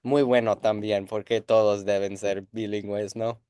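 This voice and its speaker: A man's voice: lowish voice, flat delivery, mellow, clear, resonant tone, dry recording, studio quality. A man interviewing a guest in a casual chat, friendly and encouraging. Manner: friendly, encouraging, quick reactions. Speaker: interviewer, Spanish teacher.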